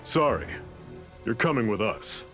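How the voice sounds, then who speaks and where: A wry, composed rebel leader. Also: male voice, lowish voice. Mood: calm. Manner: calm, measured, wry. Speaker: resistance leader. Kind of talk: video game voice acting. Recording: game audio with faint music.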